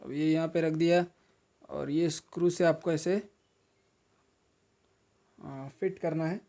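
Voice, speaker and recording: Higher-pitched Indian man, mellow explainer. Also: fairly even intonation, slow, vocal fry. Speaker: video host and product reviewer. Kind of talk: casual unboxing explainer.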